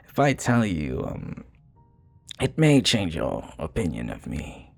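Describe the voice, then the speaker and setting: A man playing a remorseful, flirty vampire bartender in an intimate ASMR roleplay, soft-spoken and hesitant, with a breathy, husky voice. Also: a bass voice, wildly swooping pitch, slow, faint music behind, full character voice. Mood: hesitant, uneasy. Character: remorseful, flirty male vampire bartender confessing his past.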